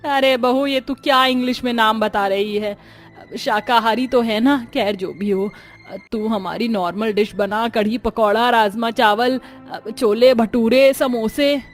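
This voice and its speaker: A woman's voice: fairly high pitch, steady tone, a little slow, faint music behind, close to an everyday voice. A woman voicing a fussy older mother-in-law who scolds and complains in exclamations. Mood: scolding.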